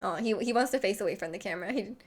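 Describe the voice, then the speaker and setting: A woman's voice: medium pitch, very fast. A young woman streamer with a slight lisp, chatting casually and rambling with lots of "like" while reading chat messages. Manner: rambling, heavy use of "like".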